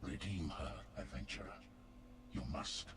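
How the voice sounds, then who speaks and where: An actor playing a grave guardian of the souls of the dead in a fantasy video game, speaking formally and solemnly. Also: male voice, low-pitched, steady tone, a little slow, a bit bright. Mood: urgent, pleading. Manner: formal, solemn, archaic phrasing. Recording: noisy background ambience.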